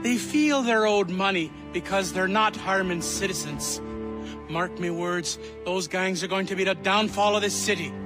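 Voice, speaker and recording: Guy doing grumpy proud old fella from a game, high voice, folksy grumbling, music behind. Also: moderate pace, close to an everyday voice. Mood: grumbling, grateful. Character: grumpy, feisty old townsman, proud and suspicious. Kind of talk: video game cutscene acting.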